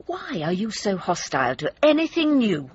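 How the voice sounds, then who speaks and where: An actress playing a sharp, combative middle-aged British wife and mother in a naturalistic radio comedy drama. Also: medium pitch, wildly swooping pitch, normal speed, breathy edge, highs cut off, exaggerated voice. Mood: defensive, cutting.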